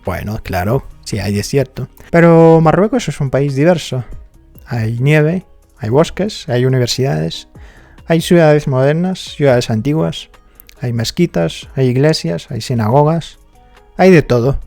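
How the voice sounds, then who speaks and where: Moroccan man vlogging, relaxed chatty explainer, dry close sound with faint music under it. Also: mid-range voice, a little slow, vocal fry, no echo. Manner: conversational, many fillers like "digamos" and "¿no?". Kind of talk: casual explanatory vlog.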